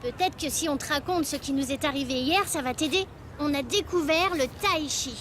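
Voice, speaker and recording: A woman's voice: high-pitched, normal speed, a bit bright, a little hiss or hum. A woman voicing a cheerful, easygoing young child in a French children's cartoon, with a friendly, natural manner.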